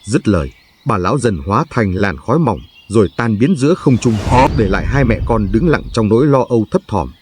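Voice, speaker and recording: A man's voice: lowish voice, lively intonation, a little fast, darkish tone, a little hiss or hum. A man narrating a folk tale as a scripted story, in a solemn, emotive and dramatic manner.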